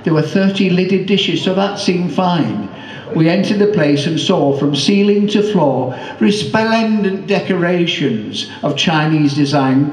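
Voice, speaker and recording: A man performing his own comic rhyming poem as a measured storyteller. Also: on the high side, darkish tone. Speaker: poet performing own work. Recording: echoey room, distant microphone.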